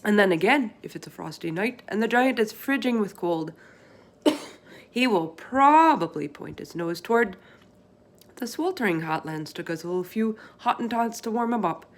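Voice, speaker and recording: Woman voicing an emphatic, excitable friendly giant. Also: mid-range voice, normal speed, pitched above the performer's own voice, lightly acted. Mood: emphatic explaining. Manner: emphatic, garbled folksy grammar with made-up words. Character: big friendly male giant, earnest, excitable, muddled speech.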